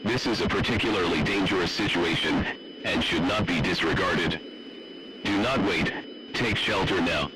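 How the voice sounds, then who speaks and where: A man's voice: low-pitched, normal speed, close to an everyday voice. A man playing a stern emergency broadcast announcer, formal and commanding, reading a scripted warning in a staged alert. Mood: urgent. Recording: very noisy emergency radio broadcast.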